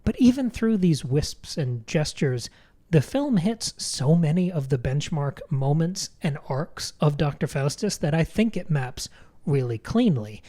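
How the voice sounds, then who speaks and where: Articulate, low-key mellow woman podcaster. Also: very animated, a little slow. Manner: articulate, emphatic, literary phrasing. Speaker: podcast co-host. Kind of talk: conversational film analysis.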